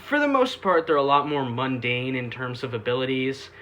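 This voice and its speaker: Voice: mid-range voice, animated, normal speed, fairly bright tone, slight background noise. A reviewer narrating a scripted but casual video review, with sarcastic asides and dry humour.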